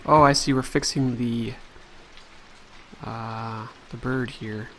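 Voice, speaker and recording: A man's voice: medium pitch, fairly even intonation, slow pace, a little hiss or hum. A man hosting a let's play, chatting casually and thinking aloud as he plays. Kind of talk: casual gameplay commentary.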